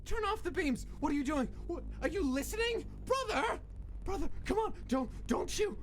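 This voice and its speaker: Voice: very high-pitched, very animated, moderate pace, slight background noise. An actor voicing a desperate, fiercely protective young skeleton brother in a dramatic comic dub. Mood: frantic, pleading. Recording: loud music underneath.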